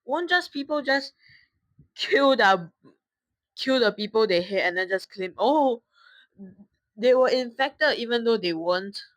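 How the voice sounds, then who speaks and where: A woman's voice: on the high side, lively intonation, unhurried, pauses often, dry recording, low-quality recording. A young woman from Singapore giving casual reaction commentary, informally talking back to the video she is watching.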